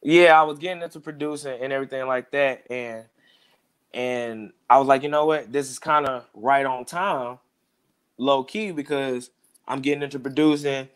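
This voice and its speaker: A man's voice: medium pitch, moderate pace, clean tone. A man in his thirties, a music producer talking casually as an interview guest, in a laid-back, rambling way. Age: 30s. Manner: laid-back, rambling, keeps saying "you know what I'm saying".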